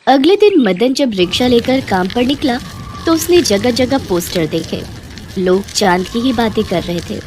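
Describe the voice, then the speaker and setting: High-voiced woman narrating a story. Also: expressive, moderate pace, a little mellow, slight background noise. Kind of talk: scripted children's story narration.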